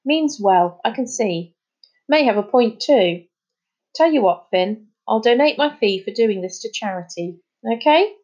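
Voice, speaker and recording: A woman's voice: a little high, animated, unhurried, a little mellow, lo-fi sound. A woman voicing an easygoing, dismissive male celebrity in an audiobook, with chatty, clipped casual phrasing. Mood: breezy, placating. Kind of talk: audiobook character dialogue.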